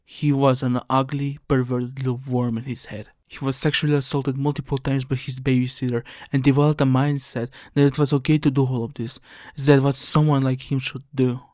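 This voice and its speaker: A man's voice: medium pitch, little pitch movement, a little fast, darkish tone, dry recording, studio quality. A man reading scripted video essay narration in an earnest, somber, explanatory way. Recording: music under the voice.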